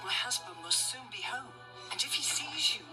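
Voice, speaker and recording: A storybook giant's wife, played by a woman, speaks in a high voice, kindly and anxious. She sounds a little away from the mic, with music behind her. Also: expressive, moderate pace, distant mic, close to an everyday voice. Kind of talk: storybook character acting.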